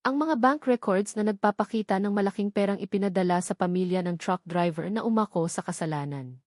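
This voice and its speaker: Female storybook narrator, even and measured, mid-pitched, dry close sound. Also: dry recording. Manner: even, measured reading with short dramatic sentences.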